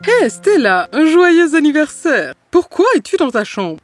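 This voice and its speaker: A woman voicing a caring, gentle aunt in a dubbed animated fairy tale. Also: high-pitched, a little slow, slight background noise. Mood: gently chiding. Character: caring aunt consoling her jealous young niece.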